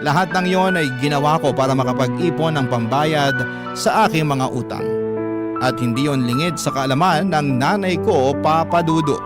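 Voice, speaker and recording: Man acting troubled confessional narrator, radio drama, earnest, somewhat high pitch, prominent music bed. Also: moderate pace, close to an everyday voice. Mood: ashamed, reflective. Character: troubled, ashamed man confessing his life story. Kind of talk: dramatic first-person letter narration for radio. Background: loud instrumental music.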